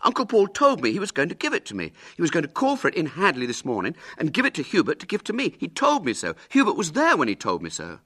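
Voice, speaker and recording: Man voicing an earnest, high-pitched, indignant schoolboy. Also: big pitch swings, fairly quick, sharp tone, no room sound, exaggerated voice. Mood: indignant, desperate. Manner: blurting, insistent protests.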